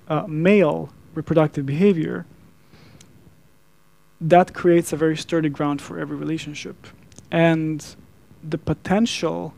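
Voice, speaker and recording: A man from Serbia with a Serbian accent, a native Serbian speaker, giving an earnest talk in a deliberate, formal manner with emphatic pauses. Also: fairly high pitch, vocal fry, warm tone. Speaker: speaker, coach and podcaster. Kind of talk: earnest public talk to a digital audience.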